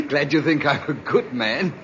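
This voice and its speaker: An actor playing a philandering, short-tempered, secretive lover in a 1950s radio drama, on a muffled, noisy recording. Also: male voice, fairly high pitch, very animated, slow. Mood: flirtatious.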